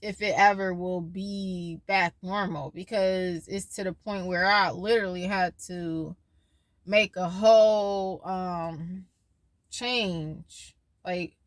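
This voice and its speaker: A woman's voice: lowish voice, fairly even intonation, slow, no echo. A woman in her twenties talking casually in a personal vlog, rambling and losing her train of thought as she shares her worries and her faith. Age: 20s. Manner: worried, faith-filled musing. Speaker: vlogger.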